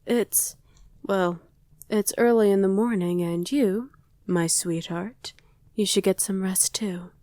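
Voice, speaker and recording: A woman's voice: mid-range voice, warm tone, no echo, close to an everyday voice. A woman playing a loving, wistful vampire wife in an intimate ASMR roleplay, speaking tenderly and often in a whisper, close to the microphone. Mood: soft, coaxing. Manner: slow, tender, coaxing murmur. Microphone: close, dry microphone.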